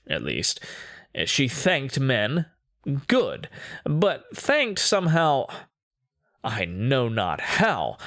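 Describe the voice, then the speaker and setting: A man performing a dramatic poetry monologue as a haughty, controlling, sinister Renaissance duke, with a pompous, theatrical, deliberate delivery. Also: mid-range voice, a bit bright, no room sound, clean studio sound, full character voice.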